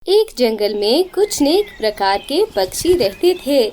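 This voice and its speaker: High-pitched woman narrating a children's story. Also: very animated, slow pace, darkish tone, slight background noise.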